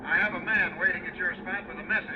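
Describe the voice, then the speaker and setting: An actor playing a clipped, businesslike airport tower controller in an old-time radio drama. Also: male voice, high-pitched, fairly even intonation, quick pace, close to an everyday voice. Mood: official, brisk. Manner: clipped, official. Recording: muffled, noisy old radio broadcast.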